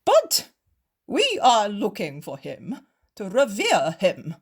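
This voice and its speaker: Pompous, self-important holy men who bluster and preen, as a woman reader plays them. The voice is raised and breathy, swinging widely in pitch, with slow, emphatic phrasing. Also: huge pitch swings, heavily acted. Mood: fawning. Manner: indignant shouting, then wheedling. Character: two proud, vainglorious male Pharisees, angry then fawning.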